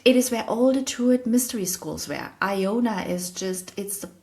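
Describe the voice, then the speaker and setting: A woman with a German accent talks loosely about sacred history, circling back and correcting herself mid-thought. She often laughs at her own stumbles, in an easy, unscripted solo chat at a middle pitch. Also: normal speed, darkish tone. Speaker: podcast host. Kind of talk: casual solo talk on spiritual history.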